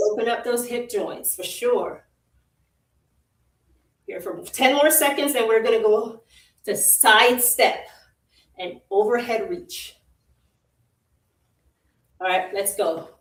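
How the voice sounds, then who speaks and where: A woman's voice: mid-range voice, slow pace, pauses often. A woman with a Jamaican accent leading a live workout, giving short, encouraging cues over and over and laughing easily. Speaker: fitness instructor. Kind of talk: live virtual workout coaching. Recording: roomy, distant from the mic.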